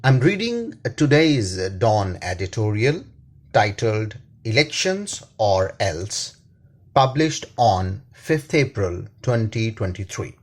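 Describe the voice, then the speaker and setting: A man with a Pakistani accent reading a written editorial aloud in a formal, deliberate manner. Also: fairly low pitch, sing-song. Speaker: reader, attorney and law professor. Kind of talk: scripted read-aloud of a newspaper editorial.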